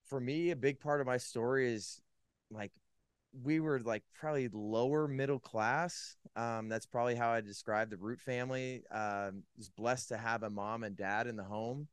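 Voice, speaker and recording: A man with a lowish, smooth and resonant voice, recorded close and dry with no room sound. He tells his personal story in a loose, casual way, full of "like" and restarts. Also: dry recording. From California. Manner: loose and rambling, many likes and restarts. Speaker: podcast guest.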